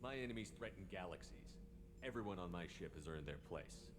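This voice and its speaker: An actor playing a stern, authoritative starship commander in a video game, speaking directly and forcefully. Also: male voice, low-pitched, animated, brisk. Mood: firm. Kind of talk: video game character acting. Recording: noisy background under game dialogue.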